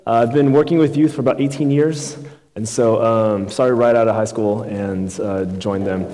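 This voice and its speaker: Thirties man, guest youth pastor, easygoing talk full of "uh"s, mid pitch, echoing sanctuary. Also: normal speed. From Houston, Texas. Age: 30s. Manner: relaxed, many fillers, asks the crowd questions. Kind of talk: casual conversational sermon. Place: church sanctuary. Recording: long reverb in a large hall.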